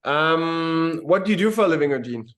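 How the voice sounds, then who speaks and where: A man's voice: on the high side, lively intonation, normal speed, fairly bright tone. A man interviewing a guest in a friendly, casual way, calling him "man".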